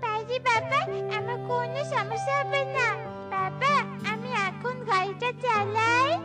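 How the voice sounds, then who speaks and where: A woman's voice: very high-pitched, steady tone, a little slow, over loud music. A woman voicing an earnest, childlike young girl bird in a children's cartoon. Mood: excited, eager. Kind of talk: cartoon voice acting.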